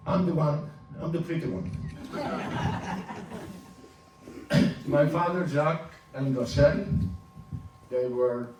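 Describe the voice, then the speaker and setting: Older man speaking slowly in a roomy hall. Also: fairly high pitch, fairly even intonation, darkish tone. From Turkey. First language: Ladino. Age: 70s. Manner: halting, with restarts and chuckles. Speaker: speaker giving a personal history talk. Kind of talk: informal slide presentation. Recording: roomy, distant, faint hum.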